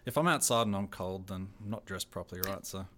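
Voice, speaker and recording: A man's voice: deep voice, quick pace, fairly bright tone. A middle-aged man with an Australian accent, a theater technical director chatting casually as a radio guest, laughing often and restarting his phrases.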